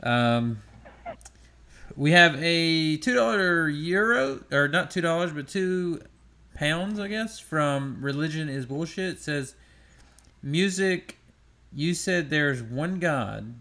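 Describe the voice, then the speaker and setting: A man moderating a debate, reading out live-chat questions in a relaxed, friendly way with many fillers. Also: fairly high pitch, slow pace.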